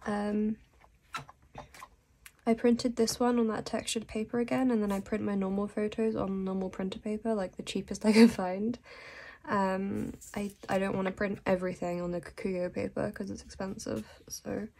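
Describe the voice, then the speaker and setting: A young woman who is sick and losing her voice, talking softly in a rambling casual voice-over about her journal. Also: medium pitch, little pitch movement. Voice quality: hoarse. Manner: soft, unhurried and rambling with little laughs. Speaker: vlogger.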